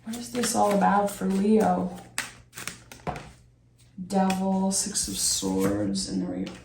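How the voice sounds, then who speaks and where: A young woman with a raspy voice from being sick, giving a gentle, reflective tarot reading and thinking aloud as she draws cards. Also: medium pitch, animated, takes it slowly, echoey room, a little hiss or hum. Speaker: tarot reader. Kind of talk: soft, slow tarot reading.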